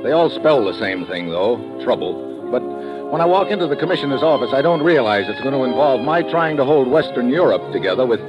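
A man's voice: mid-range voice, lively intonation, brisk, close to an everyday voice. Man acting a sardonic tough radio secret agent. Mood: wry, hard-boiled. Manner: sardonic, hard-boiled. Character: wry, tough male American secret agent narrating his own case. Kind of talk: 1950s radio drama acting. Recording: dull old radio recording, music behind.

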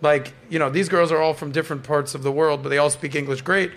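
A man's voice: a little high, quick pace. A man with a Canadian accent hosting a casual podcast panel, telling stories with playful teasing and frequent swearing. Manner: animated storytelling, swears freely, playful teasing. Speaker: podcast host.

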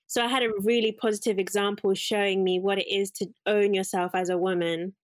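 A woman's voice: medium pitch, fairly even intonation, fairly quick, no room sound, studio quality. A young woman from England, a guest talking about her life in a casual interview, reflective and rambling with fillers and self-corrections. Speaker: guest, curly hair salon owner.

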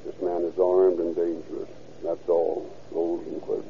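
An actor playing a terse police radio dispatcher reading clipped, deadpan bulletins in a 1930s radio drama. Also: male voice, low-pitched, unhurried, vocal fry. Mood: flat, official. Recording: tinny, noisy 1930s radio recording.

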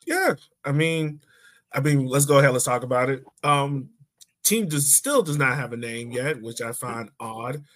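A man's voice: medium pitch, moderate pace, a bit bright. A man hosting a sports podcast, chatting casually in a folksy, colloquial way. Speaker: podcast host.

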